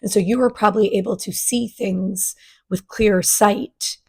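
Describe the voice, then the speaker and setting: A woman, raspy after a sinus virus, giving a casual spiritual energy forecast to her channel in a gentle, chatty way. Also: mid-range voice, steady tone, unhurried. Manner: gentle, chatty, with many fillers and restarts. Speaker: spiritual coach and channel host.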